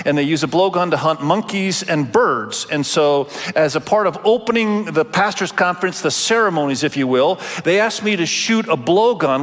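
A middle-aged male pastor raised in Indonesia, preaching a Sunday sermon in a relaxed, conversational way with many fillers and restarts. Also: a little high. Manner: warm conversational delivery with frequent fillers and restarts. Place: large church auditorium. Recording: long church reverb.

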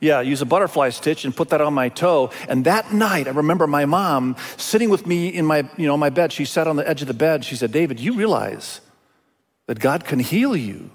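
A man from Indonesia, a native Indonesian speaker, preaching a sermon as a pastor in an emphatic way with deliberate pauses and repetition. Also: a little high, lively intonation. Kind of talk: expository sermon. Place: large hall, speaking to a congregation. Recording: reverberant large hall sound.

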